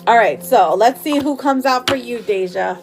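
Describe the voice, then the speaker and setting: Lady tarot reader on a livestream, kinda high voice, super informal and chatty, faint music in the back. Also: moderate pace. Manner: chatty, informal, affectionate pet names for viewers. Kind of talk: casual live chat while reading cards.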